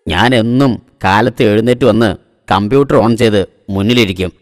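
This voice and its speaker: A man's voice: on the low side, brisk, dry recording, studio quality, long reverb tail, close to an everyday voice. A man voicing a boastful, easily exasperated self-styled computer expert in a comic cartoon. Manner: pompous, boastful.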